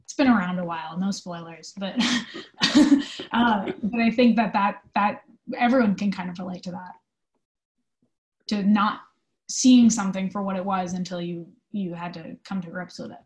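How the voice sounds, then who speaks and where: A woman answering interview questions in an informal, conversational way, explaining her work thoughtfully with pauses. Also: medium pitch, fairly even intonation, poor audio. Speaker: theatre company manager being interviewed.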